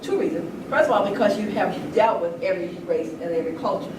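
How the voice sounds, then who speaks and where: An older woman speaks in an earnest, emphatic way, as if telling stories to a group. Her voice has a medium pitch. The recording is echoey and picked up from a distance, with clear background noise. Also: lively intonation, fast. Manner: earnest, emphatic, conversational storytelling. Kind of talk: impassioned informal public talk. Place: indoor room at a public event. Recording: echoey room, distant mic, noisy background.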